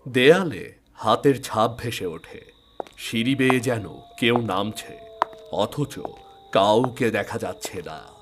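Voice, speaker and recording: A man narrating a scripted ghost story in a measured, dramatic storytelling manner. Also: mid-range voice, animated, noisy background. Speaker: narrator and channel host.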